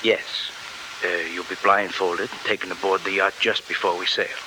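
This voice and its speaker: A noisy old radio recording carries a lowish-pitched villain , acted by a man. He is a suave smuggler who speaks with genteel hesitations and smooth, polite menace, in 1940s radio drama style. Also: steady tone, moderate pace, natural voice.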